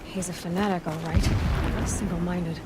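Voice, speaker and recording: A woman's voice: lowish voice, steady tone, unhurried, very noisy, close to an everyday voice. An actress playing a wary, independent young female adventurer in a video game, speaking in terse, skeptical, plain words. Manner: terse, skeptical muttering to companion. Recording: loud game music and effects behind.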